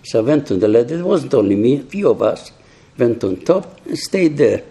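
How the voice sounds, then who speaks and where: An elderly man recounts his memories slowly, in halting, reflective phrases with frequent restarts. His voice is very dark and mellow, with a husky grain. He is hard of hearing. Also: mid-range voice, big pitch swings. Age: older, 80s or 90s. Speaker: survivor giving testimony to young people. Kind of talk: personal testimony talk.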